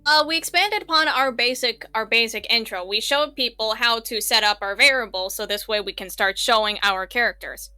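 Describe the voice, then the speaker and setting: A woman's voice: fairly high pitch, moderate pace, few pauses, pushed and bright, clear, resonant tone. A woman co-hosting a tutorial in casual, collaborative livestream chat. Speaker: tutorial co-host.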